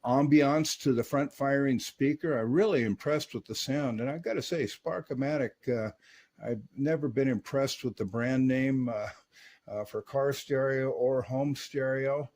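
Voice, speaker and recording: A man casually reviewing and testing a vintage radio in his workshop, relaxed and peppered with filler words. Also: mid-range voice, a little slow, slight background noise.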